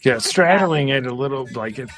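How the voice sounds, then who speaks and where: A man's voice: medium pitch, expressive, normal speed. A man co-hosting a podcast, chatting casually about music. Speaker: podcast co-host.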